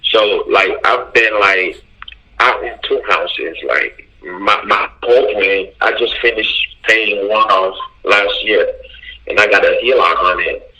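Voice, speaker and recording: A man's voice: lowish voice, slow pace, pushed and bright. A man in his thirties from Haiti with a Haitian accent, calling in and talking hesitantly with many fillers and restarts. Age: 30s. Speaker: caller. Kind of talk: casual phone call. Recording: phone line.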